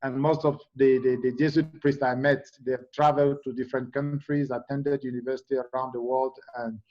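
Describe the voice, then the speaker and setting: A middle-aged man from Cameroon, a guest in a conversational interview, speaking in a halting way with many fillers and restarts. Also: medium pitch, flat delivery, darkish tone, lo-fi sound.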